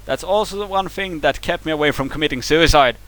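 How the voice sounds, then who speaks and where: A man with a Swedish accent and a somewhat high-pitched voice vents in a heated, profane rant. He stumbles and restarts words as his anger builds. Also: fast talker, fairly bright tone, low-quality recording. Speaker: vlogger reacting to a video. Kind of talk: angry rant to camera.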